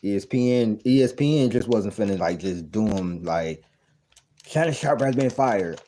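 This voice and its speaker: A man in his thirties sounds off in casual, profane commentary, circling back to the same outraged points again and again. His voice sits low and mellow. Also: lively intonation, moderate pace, a little hiss or hum. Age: 30s. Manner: blunt, profane and incredulous, repeating points. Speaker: reaction video host. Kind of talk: casual commentary reacting to a clip.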